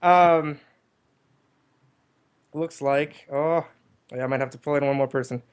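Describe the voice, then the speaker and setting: Higher-pitched man hosting, rambling. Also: fairly even intonation, a little slow, clean tone. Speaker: livestream host. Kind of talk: casual group chat.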